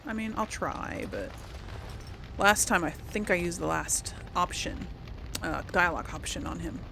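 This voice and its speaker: A woman's voice: on the low side, unhurried, creaky, bright, forceful tone, a little hiss or hum. A woman doing casual let's play commentary, thinking aloud in an informal, hesitant way. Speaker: gamer, let's play host.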